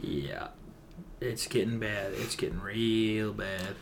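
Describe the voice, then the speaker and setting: A man's voice: lowish voice, steady tone, takes it slowly, creaky, slight background noise. A young adult man co-hosting a podcast, talking informally and slangily in casual conversation. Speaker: podcast co-host. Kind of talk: casual chat.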